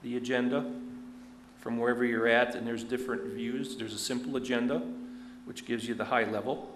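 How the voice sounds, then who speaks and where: A man in a roomy chamber, his voice carrying some echo over a faint background hum. He walks through the material step by step in a plain way, tossing in casual asides. His pitch stays fairly even and flat throughout. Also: a little fast. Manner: plain, step-by-step walkthrough with "you know" asides. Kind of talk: walking through an online agenda at a public meeting. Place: council meeting room.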